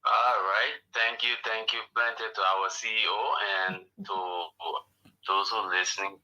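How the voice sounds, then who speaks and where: A man's voice: fairly low pitch, flat delivery, a little slow, pushed and bright, low-quality recording. A man calling in to a radio show, speaking formally and gratefully and repeating words as he goes. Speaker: farm press director. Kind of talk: radio call-in.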